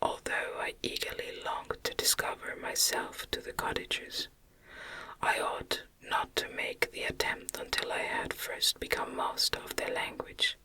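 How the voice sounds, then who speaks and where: A woman reading a gothic novel aloud in a gentle, measured ASMR whisper. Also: low-quality recording. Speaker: audiobook narrator.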